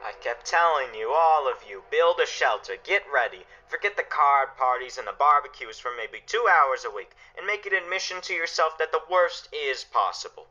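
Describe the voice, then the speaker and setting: Stern family protector played by a man, radio play: lower-pitched, intensely forceful, grave, emphatic speeches. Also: expressive, moderate pace, few pauses, highs cut off, faint music behind. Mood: bitter, reproachful. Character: stern, anguished father guarding his family's shelter. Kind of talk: dramatic radio play acting.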